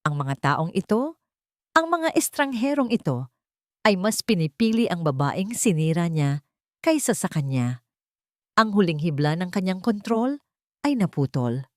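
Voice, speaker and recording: A woman's voice: lowish voice, very animated, a little slow, long pauses, dry recording, studio quality. A woman with a Filipino accent reading a scripted dramatic story as its narrator.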